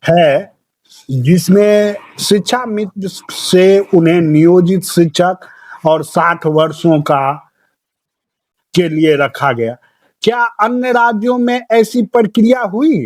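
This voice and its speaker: A man interviews in a distinctly high voice. He speaks very slowly, with deliberate, formal phrasing. The call recording is dry, with hardly any echo. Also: darkish tone. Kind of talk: questioning in a phone discussion.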